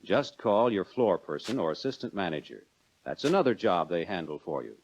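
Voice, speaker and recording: An American man narrating a 1940s instructional film in a measured, instructive manner, addressing the viewer directly. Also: low-pitched, very animated, a little hiss or hum. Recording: dull lo-fi 1940s film soundtrack.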